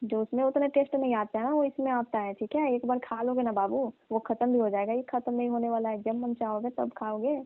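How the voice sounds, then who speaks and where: A woman plays a coaxing village aunt in a comic phone-call skit. Her voice is on the high side, colloquial and teasing, and pushy in her flirting. The sound is thin and dry, like a recorded phone call. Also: fairly even intonation, a little fast, hardly any pauses, no echo, lightly acted.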